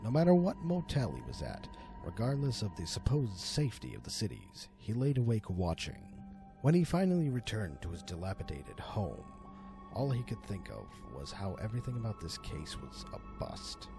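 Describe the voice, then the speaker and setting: Deep gravelly man narrating a horror tale. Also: huge pitch swings, over music. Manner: theatrical, deliberate, swooping delivery. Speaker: storyteller and amateur voice actor. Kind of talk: dramatic horror story narration.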